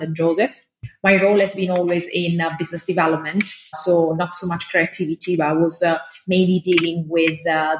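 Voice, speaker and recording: Chatty Italian woman, lower voice. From Italy. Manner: chatty, enthusiastic, many filler uhs. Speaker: guest, fashion business lecturer. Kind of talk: podcast interview. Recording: roomy with faint noise.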